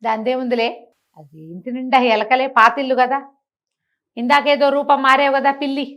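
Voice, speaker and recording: A woman's voice: high-pitched, little pitch movement, moderate pace, dull audio, lightly acted. A woman storyteller playing an unflappable, thrifty male music teacher who chats on with practical nonchalance. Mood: unbothered.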